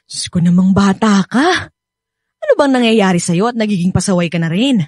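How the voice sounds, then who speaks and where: Radio drama role: motherly Filipino nanny, played by a woman, husky mid voice, pleading, reproachful. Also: mid-range voice, animated, normal speed, a little mellow, no room sound, studio quality, close to an everyday voice. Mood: concerned, reproachful. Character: caring, gently scolding nanny of a teenage boy. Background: background music.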